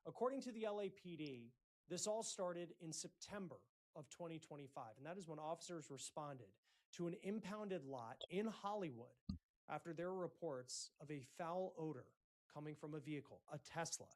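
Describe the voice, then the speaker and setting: A man hosting a breaking news report, speaking in deliberate, emphatic phrases with dramatic pauses. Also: fairly high pitch, expressive, a little mellow. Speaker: news host.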